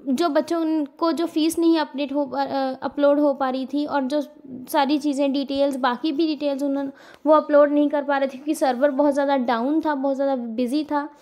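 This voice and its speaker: Woman, Indian accent, high-pitched voice, student-facing educator, informal update style, earnest, emphatic, repeats key points. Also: level tone, a little fast, mellow. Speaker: exam coaching educator giving an update to students. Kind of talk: informal explanatory update addressed to viewers.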